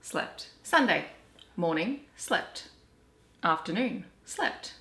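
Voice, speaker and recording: An Australian woman reading children's storybooks aloud in an animated, deliberate storytelling way. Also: fairly low pitch. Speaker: children's story reader and book vlogger. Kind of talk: expressive storybook read-aloud. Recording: roomy, echoey home recording.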